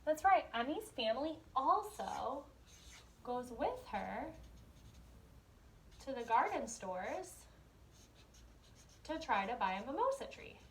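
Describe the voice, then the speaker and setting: Female, kindergarten teacher, high-ish voice, instructional question-and-answer read-aloud style, slight noise on the recording. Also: big pitch swings, takes it slowly, pauses often, fairly bright tone, poor audio. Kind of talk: read-aloud lesson with questions for young children.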